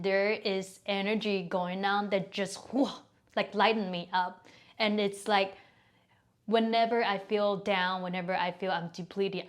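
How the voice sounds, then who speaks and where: Female podcast host, mid-pitched, eager and chatty. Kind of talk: casual interview hosting.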